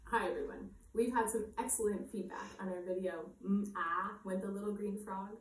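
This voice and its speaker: A woman's voice: medium pitch, fairly even intonation, normal speed, loud music behind the voice. Echoey, distant woman introducing children's songs. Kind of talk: casual video introduction to viewers. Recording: echoey room, distant mic, faint noise.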